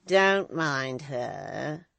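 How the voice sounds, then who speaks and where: A reader voicing a sly, prying old woman in an audiobook, slow and coaxing with a breathy edge. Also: medium pitch, sing-song, sharp tone, no echo, heavily acted. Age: older. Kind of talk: audiobook character voice.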